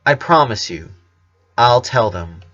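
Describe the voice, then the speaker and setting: A man's voice: mid-range voice, lively intonation, slow pace, a bit bright. An earnest, guarded young boy in a narrated story, read by a man. He speaks with hesitation. The sound is dull and lo-fi. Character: earnest, guarded eleven-year-old little brother.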